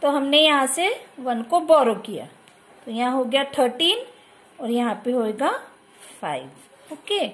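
A woman's voice: high voice, very animated, measured pace, a bit bright. A woman teacher with an Indian accent who explains a maths exercise step by step, mixing Hindi and English. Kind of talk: maths lesson over a workbook.

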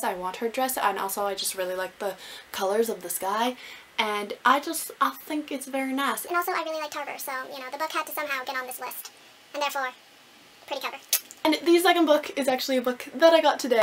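A young woman chatting casually to camera as a book vlogger, animated, gushing and poking fun at herself. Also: sits high, slight background noise. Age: young adult. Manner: animated, gushing, self-mocking. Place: small room at home with bookshelves.

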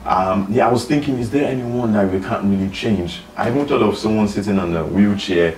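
A young man with a very low voice hosts a relaxed sofa chat, musing aloud and asking questions. His voice comes from a distance and rings a little in the room. A faint hiss is heard behind. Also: a little fast, roomy sound. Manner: thoughtful, asks questions, lots of "mm-hmm" backchannel. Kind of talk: casual discussion. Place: living room with bookshelf, indoors.

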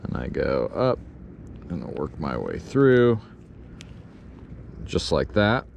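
Man in his thirties, fishing vlog, unhurried talk, mid pitch, outdoors on a boat, faint background hiss, action camera mic. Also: vocal fry. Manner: slow, easygoing instruction turning excited when a fish bites. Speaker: fishing vlogger. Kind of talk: casual how-to narration while fishing. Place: pontoon boat on a river canyon, outdoors. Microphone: chest-mounted action camera.

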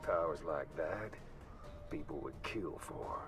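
A man's voice: rumbling low, slow pace. A man playing a wry, folksy gunslinger mercenary in a video game, with a drawl. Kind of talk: video game character dialogue. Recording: distant, under loud music.

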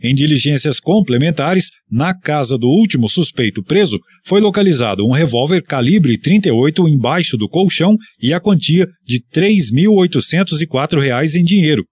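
Husky Brazilian radio newsman. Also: fairly high pitch, moderate pace, few pauses, dry recording. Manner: steady formal newsreading. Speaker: radio news presenter. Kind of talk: read police news bulletin.

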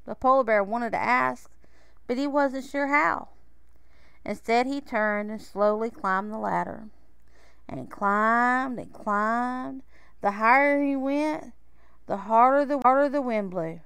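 A woman reading a children's picture book aloud in a measured, expressive way, with pauses. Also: fairly high pitch, creaky, smooth, no room sound. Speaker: storybook reader.

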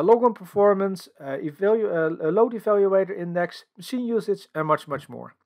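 A man from the Netherlands with a Dutch accent, giving a technical conference presentation over slides in an explanatory way, with many filler sounds. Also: sits high. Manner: explanatory, filler-heavy with frequent uhs. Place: voice-over for screen recording and slides.

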